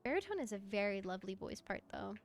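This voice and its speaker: A woman chatting casually on a livestream as she plays, answering viewers in an expressive, encouraging, effusive way with a mezzo voice. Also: mid-range voice, fast talker. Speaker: VTuber live streamer. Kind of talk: casual livestream chat while gaming, answering viewer comments.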